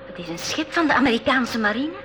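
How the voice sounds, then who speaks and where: A woman's voice: on the high side, big pitch swings, quick pace. A woman acting a bold, curious young girl in a 1980s school radio play, with spirited, stagey delivery. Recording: noisy old radio play recording.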